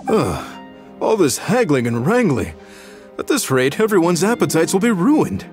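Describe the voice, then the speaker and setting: Middle-aged game chef, fairly high male voice, lively swings, proud, hearty, emphatic. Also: measured pace, faint music behind. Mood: exasperated. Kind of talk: animated video game acting.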